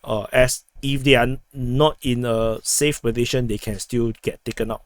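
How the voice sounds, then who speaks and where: Hoarse man giving a war briefing. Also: medium pitch, steady tone, no echo. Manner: halting, many fillers and restarts. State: hoarse, losing his voice. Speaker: war analysis host. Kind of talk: map-based military briefing.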